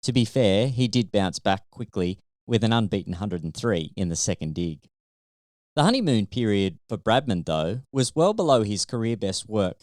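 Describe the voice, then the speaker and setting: A man reads a light-hearted written essay in a smooth, clear voice. His pitch is animated and varied, and it sits in a middle register. The recording is dry, with very little room sound. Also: no room sound. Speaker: author and podcast host reading own book.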